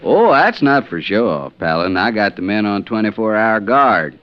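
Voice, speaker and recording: Hard-bitten ranger captain, lowish voice, very animated, folksy, muffled old radio western. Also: male voice, moderate pace. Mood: grumbling, indignant. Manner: folksy, emphatic. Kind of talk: 1950s radio western acting.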